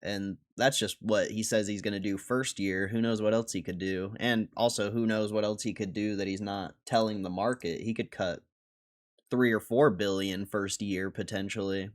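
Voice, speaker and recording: A man's voice: on the low side, steady tone, normal speed. A man hosting a livestream, reacting in casual, slangy commentary and repeating phrases for emphasis. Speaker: livestream host reacting to an interview.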